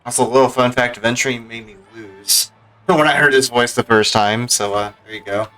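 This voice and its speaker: A man streaming a video game, talking loosely with lots of fillers. His voice sounds bright and forward. Faint music plays behind him. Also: mid-range voice, brisk. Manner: relaxed, rambling, many fillers. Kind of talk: casual let's-play commentary.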